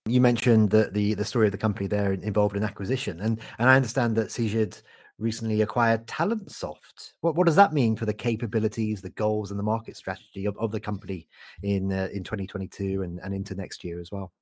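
Brief: male podcast host, low voice, chatty and jokey, very dry recording. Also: fast talker, dry recording. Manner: chatty, jokey, stumbles on repeated words. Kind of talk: podcast interview hosting.

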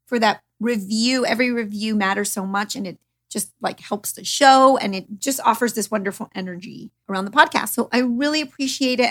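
A woman's voice: medium pitch, gravelly, fairly bright tone. A woman hosting a podcast, talking in a chatty, gushing, informal way straight to listeners. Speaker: podcast host. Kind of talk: podcast intro, chatty monologue.

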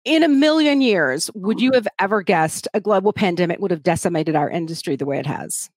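A woman hosting a live interview stream, chatty and animated, with easy laughter. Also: fairly low pitch, a little fast, dry recording. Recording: dry close audio.

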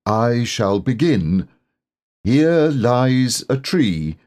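A man voicing a gentle, musing storybook bear who speaks earnestly and hesitantly, as if composing verse. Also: mid-range voice, big pitch swings, very slow, voice pushed up. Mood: musing, hopeful. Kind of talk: children's storybook character reading.

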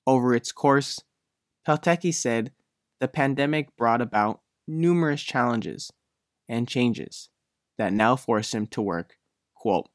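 A man narrating a scripted news feature as a reporter, speaking in measured, deliberate phrases. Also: medium pitch, lively intonation, dry recording, studio quality.

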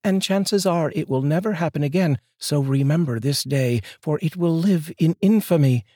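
A man voicing a playful, teasing wife in a storybook reading, with a lifted, brighter tone. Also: on the high side, moderate pace, no room sound, clean studio sound, voice pushed up, natural voice.

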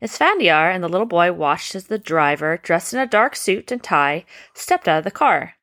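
A woman's voice: on the low side, sing-song, moderate pace, a bit bright. A woman reading a children's bedtime story as a parent, explaining and checking with questions.

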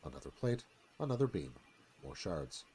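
A man's voice: low voice, very animated, moderate pace, warm tone, husky. A man narrating a screenplay dramatically in a live radio theatre reading.

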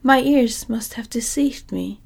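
A woman softly whispering the lines of a pompous male scarecrow king in a storybook reading. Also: a little high, measured pace, creaky. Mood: fussy.

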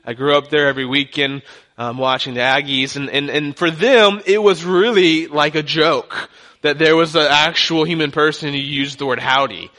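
A man's voice: a little high, fairly even intonation, normal speed, pushed and bright. A young man from Texas with a light Texan accent, preaching a sermon as a guest pastor in a joking, conversational way. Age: young adult.

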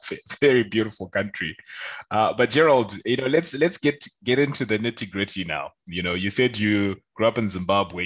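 A man's voice: low-pitched, fairly bright tone, husky. A man hosting a podcast, chatting casually and informally with enthusiasm as he asks questions. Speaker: podcast host. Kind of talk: casual interview chat.

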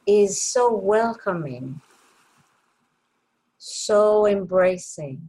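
Very slow-paced woman guiding a meditation. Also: medium pitch, poor audio. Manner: gentle, soothing, unhurried with long pauses. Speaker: meditation guide. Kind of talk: guided meditation.

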